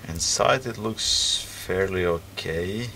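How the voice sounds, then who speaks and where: Low slow gravelly guy, fixing stuff on a bench, lots of ums, mic kinda far off with background noise. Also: bright, forceful tone. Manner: hesitant, many ums and restarts while working. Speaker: repair video host. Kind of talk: casual hands-on repair commentary. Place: workbench, indoors.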